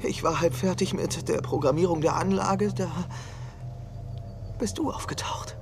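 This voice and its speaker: An actor playing a nervous, intimidated hostage with a hesitant, anxious delivery in a dubbed drama series. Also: male voice, sits high, unhurried, creaky, background noise. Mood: nervous confession. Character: nervous, intimidated male electrician held hostage. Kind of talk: German-dubbed TV series dialogue. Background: music.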